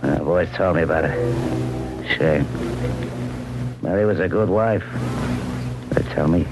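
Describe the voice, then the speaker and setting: Vintage radio crime drama: surly, cagey ex-con mobster, low voice, taunting, noisy old broadcast with music. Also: male voice, unhurried, creaky. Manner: taunting, cryptic tough-guy talk. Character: surly, cagey ex-convict gangster stuck in the 1920s.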